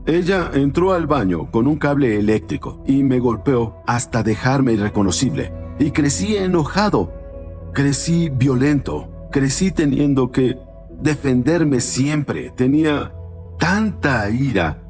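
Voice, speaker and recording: A man from Panama, a guest on an interview show, telling his life story earnestly, pausing with fillers. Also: mid-range voice, animated, a little mellow, soft background music.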